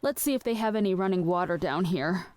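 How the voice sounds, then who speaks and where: A woman's voice: fairly low pitch, lively intonation, brisk, a little mellow, no room sound, natural voice. An actress playing a practical, exasperated woman with a dry, weary manner in an audio drama. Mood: disgusted. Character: exasperated, queasy, practical woman minding her raving companion. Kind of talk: scripted audio drama acting.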